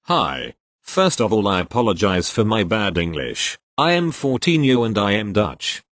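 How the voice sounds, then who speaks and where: A man reading online posts aloud as the regular reader of the channel, in an expressive storytelling way. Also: fairly low pitch, moderate pace, no room sound.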